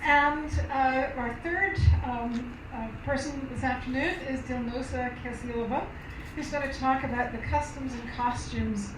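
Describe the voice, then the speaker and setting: A woman's voice: sits high, unhurried. A woman moderating a university event, introducing a speaker in a chatty way with many fillers. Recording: echoey room from far off, noisy.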